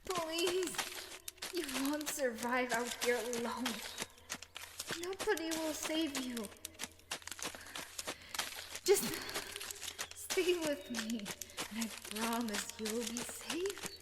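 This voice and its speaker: A woman playing an obsessive, possessive young scientist in an audio roleplay, with breathy, coaxing threats and pleading. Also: sits high, lively intonation, extremely slow, long pauses, very noisy, heavily acted. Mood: threatening, pleading. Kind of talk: audio roleplay acting addressed to the listener.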